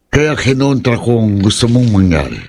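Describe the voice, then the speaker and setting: A man acting an earnest, principled older ritualist in a horror radio drama, speaking in a husky, pleading manner. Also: mid-range voice, animated, moderate pace, darkish tone, slight background noise, lightly acted.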